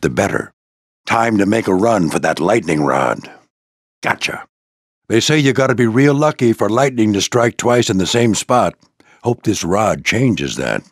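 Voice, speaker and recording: An older American man voicing a proud, gruff old military plane who mentors a squadron in a video game, with a gravelly voice and a commanding, folksy manner. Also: lowish voice, dry recording, clean studio sound. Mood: determined. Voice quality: gravelly, husky. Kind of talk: scripted video game character lines.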